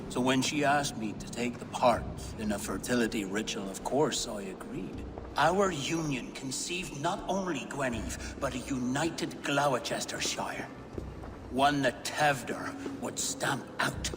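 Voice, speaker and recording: An actor playing a proud, scheming older chieftain in a video game, theatrical and measured, with a wry edge. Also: male voice, mid-range voice, lively intonation, noisy background, lightly acted. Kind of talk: dramatic video game acting. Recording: long reverb, music behind.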